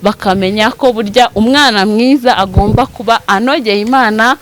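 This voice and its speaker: A woman's voice: a little high, normal speed, background noise. A woman from a school, steadily explaining its teaching methods in an interview. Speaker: school administrator. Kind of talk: interview statement.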